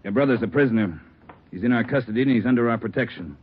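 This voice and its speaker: An actor playing an authoritative American police superior, businesslike and clipped, in a 1940s radio crime drama. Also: male voice, medium pitch, fast, slightly rough, natural voice. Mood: firm, businesslike. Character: brisk, authoritative male police superior, negotiating calmly. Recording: dull 1940s radio recording.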